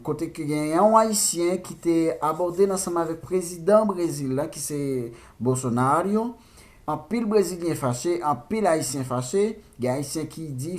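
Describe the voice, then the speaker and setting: Male channel host, Haitian accent, voice a bit high, informal news commentary, halting delivery. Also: a little mellow.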